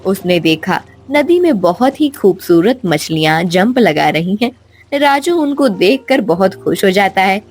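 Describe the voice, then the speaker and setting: A woman reads a scripted children's story. Her pitch is mid-range and her pace unhurried, with background music running beneath the narration. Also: big pitch swings, slightly rough.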